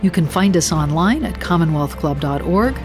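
A woman's voice: on the low side, animated, moderate pace. A woman announcer giving a scripted promo read. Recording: studio read over music.

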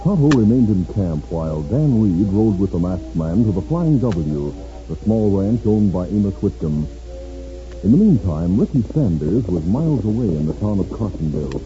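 A man's voice: deep voice, very animated, normal speed, warm tone, soft background music. A man narrating a 1950s radio western in a dramatic, scripted style. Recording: dull 1950s radio recording.

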